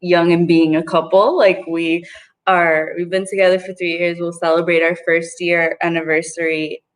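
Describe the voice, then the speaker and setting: A woman's voice: on the low side, level tone, normal speed. Young woman reflecting on a panel. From Puerto Rico. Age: young adult. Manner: reflective, many "like" and "you know" fillers. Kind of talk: casual panel discussion.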